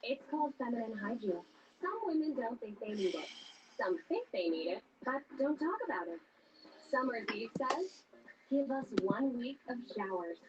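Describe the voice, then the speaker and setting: A woman's voice: on the high side, big pitch swings, normal speed, highs cut off, noisy background. A woman reading scripted advertising narration as a commercial announcer.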